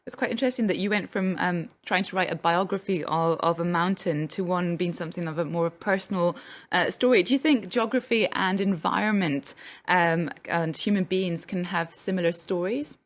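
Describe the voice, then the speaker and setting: Curious North Welsh interviewer. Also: female voice, lowish voice, normal speed. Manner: curious, conversational. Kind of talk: interview questions.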